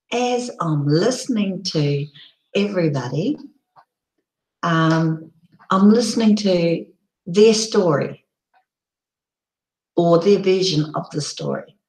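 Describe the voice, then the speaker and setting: Woman, hoarse croaky voice, slow rambling live-stream chat, echoey room. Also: lowish voice, a little mellow. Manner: rambling, lots of ums and restarts.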